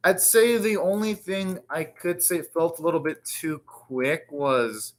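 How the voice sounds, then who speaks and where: A man's voice: a little high, unhurried. A man hosting an anime reaction, commenting casually and thinking aloud in short, rambling remarks.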